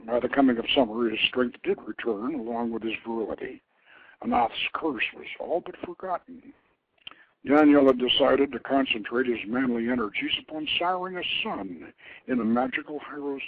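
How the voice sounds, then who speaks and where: A man reading his own legend story aloud as a radio host, in a measured, dramatic narration. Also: on the high side, husky, no echo. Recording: tinny phone-line radio recording.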